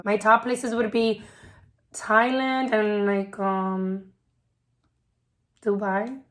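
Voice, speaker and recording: Young woman from New York, chatty vlogger, quick casual delivery. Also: mid-range voice, little pitch movement, a bit bright. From New York, USA. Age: 20s. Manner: chatty, quick, laughs often. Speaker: vlogger, preschool teacher. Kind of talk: casual couple Q&A chat.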